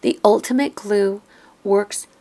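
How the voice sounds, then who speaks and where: A woman explaining a craft project step by step in a calm voice-over, her voice slightly hoarse and raspy from a lingering cough. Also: mid-range voice, slow pace. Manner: gentle step-by-step explaining, thinks aloud. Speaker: crafting tutorial host. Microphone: voice-over recorded apart from the video.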